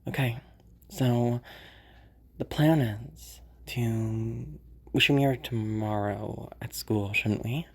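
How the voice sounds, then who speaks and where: A woman's voice: extremely low pitch, steady tone, slow pace, breathy edge, heavily acted. A woman voicing a cold, calculating rich sociopath who mutters her schemes to herself with dry laughs, frequent whispers and swearing. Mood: planning. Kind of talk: audio roleplay monologue.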